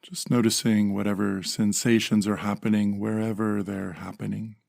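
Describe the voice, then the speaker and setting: Male meditation guide: low, gravelly voice, calm measured phrasing with generous pauses, very dry sound. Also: dry recording.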